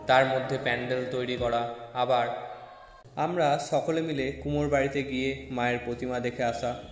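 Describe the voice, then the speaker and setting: Male story narrator in heavy reverb. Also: mid-range voice. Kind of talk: scripted first-person story narration. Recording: echoey with long reverb. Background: faint music.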